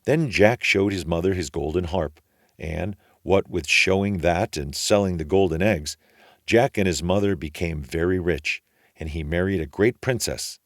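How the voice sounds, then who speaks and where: A man reading a children's story aloud as an expressive storyteller. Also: deep voice, moderate pace, no room sound, studio quality.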